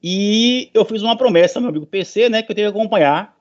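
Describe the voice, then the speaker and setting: Northeast guy, middle-aged prof, slightly high voice, giving an emphatic pep talk on a lo-fi video meeting. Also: fast talker. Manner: emphatic, repeats phrases for stress. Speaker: professor. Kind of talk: informal motivational address in an online meeting. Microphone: online meeting call. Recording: dull lo-fi online call audio.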